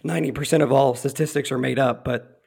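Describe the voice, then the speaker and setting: A man hosting a podcast interview while losing his voice, talking in a rambling, friendly way and clearing his throat. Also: mid-range voice, steady tone, quick pace, darkish tone. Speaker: podcast host, real estate investor. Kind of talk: casual interview conversation.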